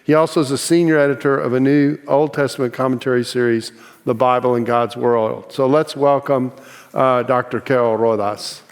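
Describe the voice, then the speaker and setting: A man's voice: mid-range voice, steady tone, moderate pace, long reverb tail, a little hiss or hum. An older man hosting an interview, talking in a friendly, rambling way with many fillers and restarts. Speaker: interview host.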